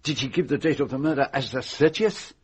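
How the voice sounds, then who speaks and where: An actor playing a fastidious, shrewd foreign detective in a radio drama, with a French-speaking European accent and precise, formal phrasing. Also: male voice, mid-range voice, husky. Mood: urgent, exacting. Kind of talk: classic radio drama acting. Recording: noisy old radio drama recording.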